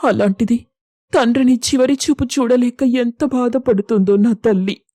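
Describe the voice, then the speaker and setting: A woman's voice: a little high, measured pace, mellow, no room sound. A woman voicing a naive, anxious elderly village mother in a story, plaintive and pleading. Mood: tearful worry. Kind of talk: acted dialogue in an audio story.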